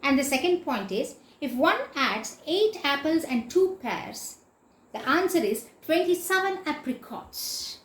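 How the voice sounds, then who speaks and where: High-pitched, animated woman teacher in echoey room. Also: measured pace. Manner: didactic, emphatic, repeats and spells out key words. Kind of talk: explanatory literature lecture.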